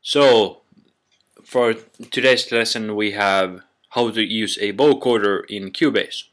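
A man narrating an informal software tutorial in a halting, step-by-step way, recorded on a built-in computer microphone. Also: fairly low pitch. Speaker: tutorial presenter.

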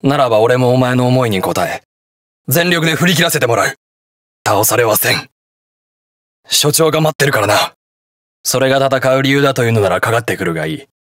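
Man acting a fiery, gruff armored hero. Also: mid-range voice, expressive, brisk, long pauses, a bit bright, dry recording, studio quality, natural voice. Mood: stern, resolute. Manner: gruff, terse, defiant delivery. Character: brash, hot-blooded armored hero, a stern young male police detective. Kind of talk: video game battle voice lines in Japanese hero-show acting.

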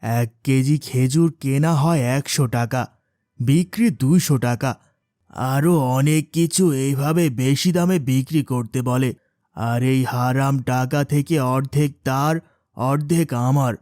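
A man's voice: medium pitch, a little slow, a little mellow, no room sound, studio quality, close to an everyday voice. A man playing a poor, honest, devout labourer father in a cartoon moral story. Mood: earnest, humble.

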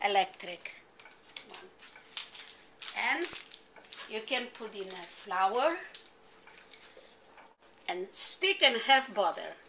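Older female home cook, Polish accent, high bright voice, halting English, informal recipe demo on a noisy amateur video. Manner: simple halting phrases in non-native English. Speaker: home cooking show host.

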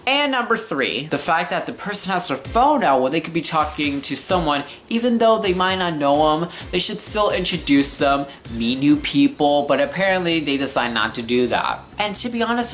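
A man's voice: fairly high pitch, fairly bright tone. A young man in his teens or just out of them telling a casual story in an exaggerated, sarcastic way, like a rant to camera. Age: teenager to young adult. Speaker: vlogger telling a personal story. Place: living room, indoors.

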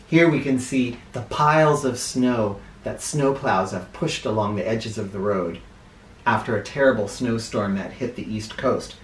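A middle-aged American man reading aloud to children in an expressive, dramatic way, recorded in a living room. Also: mid-range voice, a little hiss or hum. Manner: expressive, dramatic, deliberate emphasis. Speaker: children's picture book reader and host. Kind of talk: read-aloud for children with spoken asides. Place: small living room, indoors. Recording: roomy, echoey living room sound.

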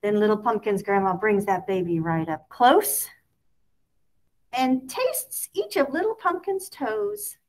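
A woman reads a children's story aloud expressively, in a sing-song way with drawn-out key words. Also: mid-range voice, expressive, darkish tone. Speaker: picture book reader.

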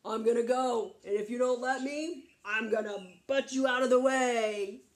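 A woman's voice: a little high, unhurried, lightly acted. A woman voicing a big, strong, cocky male goat in a children's story, blunt and challenging. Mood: defiant. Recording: echoey room.

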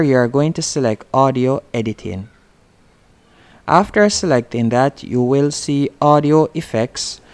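A man's voice: medium pitch, smooth. A man presenting a how-to tutorial in scripted narration, giving plain step-by-step instructions.

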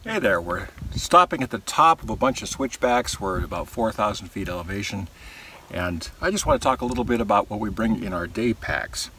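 A man's voice: fairly low pitch, background noise. An older man outdoors on a desert trail, casually showing the gear in his day pack one item at a time, recorded by a camera a short distance away. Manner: relaxed, listing gear item by item. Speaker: hiking vlogger. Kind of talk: casual show-and-tell. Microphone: camera some distance away.

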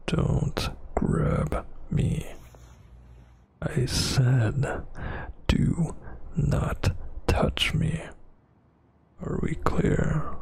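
A man playing a brooding, dominant vampire boyfriend in an ASMR roleplay, scolding haltingly in a husky, breathy near-whisper. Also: fairly high pitch, exaggerated voice. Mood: menacing, taunting.

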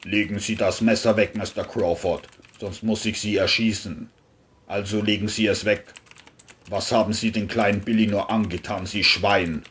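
A stern male sheriff from a crime audio play, acted by a man, low in pitch. He is formal and insistent, giving commands over faint noise and clicks. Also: steady tone, moderate pace, fairly bright tone, natural voice. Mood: commanding.

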